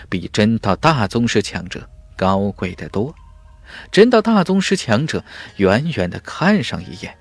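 A man's voice: on the low side, dry recording. A man narrating a fantasy audiobook in a dramatic, scripted storytelling style.

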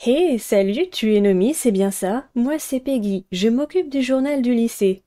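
A woman's voice: fairly high pitch, lively intonation, unhurried, mellow. A woman voicing a pushy, nosy teenage school reporter in a visual novel game. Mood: prying.

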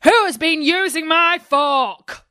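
A woman's voice: high voice, takes it slowly, bright, forceful tone, no room sound, studio quality, pitched above the performer's own voice, full character voice. A woman voicing fussy, kindly little male dwarfs in an exclaiming manner for a children's storybook. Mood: indignant, puzzled. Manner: exclaiming, questioning.

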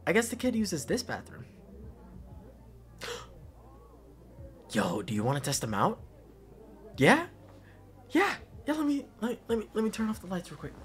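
A young man playing an affectionate, goofy best friend at a party in an intimate audio roleplay, halting and repeating himself in casual slang. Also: on the high side. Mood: casual, playful.

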